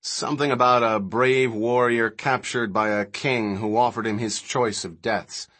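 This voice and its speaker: A man's voice: mid-range voice, fairly even intonation, measured pace, fairly bright tone. Man voicing an intense, lecturing male scientist. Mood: explanatory. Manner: emphatic, declamatory, rhetorical.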